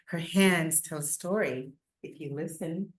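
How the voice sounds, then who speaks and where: Female storyteller, slightly low voice, very unhurried, gentle measured read-aloud delivery. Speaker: children's book illustrator.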